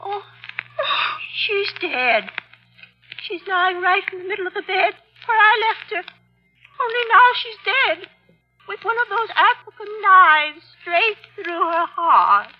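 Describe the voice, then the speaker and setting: An actress playing an excitable, indignant lady, emphatic, anxious and proper, in old-time radio mystery style. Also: extremely high pitch, slow pace, muffled recording, heavily acted. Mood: alarmed. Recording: old radio drama, band-limited, music behind.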